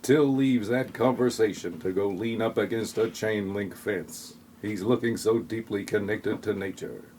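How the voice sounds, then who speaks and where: Low-voiced man narrating a comic audio play. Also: unhurried, few pauses, darkish tone, slight background noise. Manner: mock-dramatic, tongue-in-cheek.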